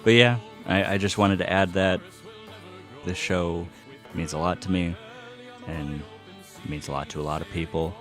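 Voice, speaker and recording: Deep-voiced man gushing earnestly. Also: fairly even intonation, a little slow, long pauses, fairly bright tone, faint music behind. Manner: earnest, gushing, swears casually. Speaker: host. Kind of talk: casual monologue, enthusiastic vinyl review.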